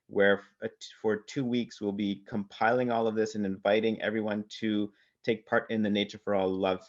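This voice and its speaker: A man making an informal, hesitant announcement at an online event, over a web call. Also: lowish voice. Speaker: campaign presenter. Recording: dull lo-fi web call audio.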